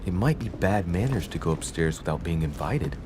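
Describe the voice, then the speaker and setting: A man voicing a well-mannered young nobleman in a video game, dry and measured. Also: sits low, lively intonation, noisy background. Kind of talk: game voice acting.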